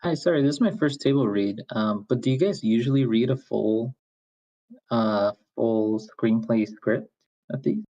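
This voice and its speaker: A man's voice: medium pitch, measured pace, mellow. A man new to the group, speaking politely and tentatively in casual chat on an online call. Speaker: first-time table read participant. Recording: dull lo-fi voice-call mic.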